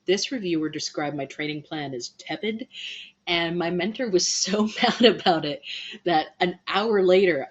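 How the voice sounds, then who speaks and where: A woman hosting a casual livestream, chatting to viewers in an animated, playful way, on dull lo-fi audio. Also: lowish voice. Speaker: livestream host and band musician.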